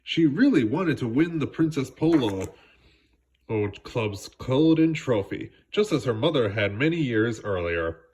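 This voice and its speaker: A man narrating a children's storybook aloud in an expressive way, occasionally stumbling on a word. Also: mid-range voice, unhurried, slightly rough, poor audio. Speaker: storybook reader.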